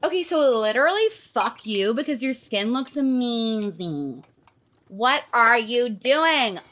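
A brash Americanized aunt, played by a woman, gushing in valley-girl slang and swearing freely. The voice sits a little high and swoops through a lively range. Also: slow pace, heavily acted. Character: brash Irish-American aunt back from Boston. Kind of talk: comedy sketch acting.

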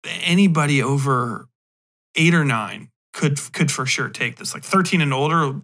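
A man's voice: on the high side, moderate pace, a bit bright. A man hosting a podcast, giving a casual, unscripted review in a rambling, emphatic way. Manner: rambling, emphatic, profane slang. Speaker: podcast host.

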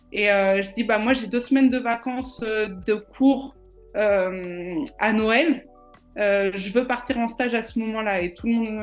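A woman guest in a podcast interview, telling her story casually, with lots of hesitations and restarts. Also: medium pitch. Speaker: nutritionist guest.

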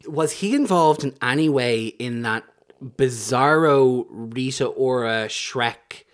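A man's voice: mid-range voice, slow pace. A man chatting casually as a podcast guest, making dry, wry remarks. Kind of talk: casual chat.

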